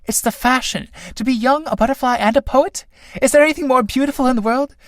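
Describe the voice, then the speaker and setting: A performer reading a play aloud, voicing a vain, flighty female butterfly in a high, affected, gushing voice. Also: quick pace, no room sound, clean studio sound. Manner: gushing, affected, breathy swoops.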